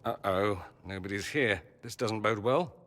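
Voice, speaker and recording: An actor playing a witty, haughty aristocratic mage in a video game, speaking with sardonic, theatrical, ornate phrasing. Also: male voice, on the low side, measured pace, a bit bright, long reverb tail. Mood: wary.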